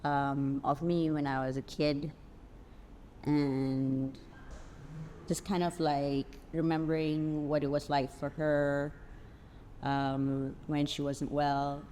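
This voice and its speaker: A middle-aged woman talks in an interview with a very low voice and an unhurried, very slow pace. She is reflective and measured, recorded in a reverberant hall with faint noise. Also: little pitch movement, dark tone. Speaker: stage play cast member. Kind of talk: casual cast interview.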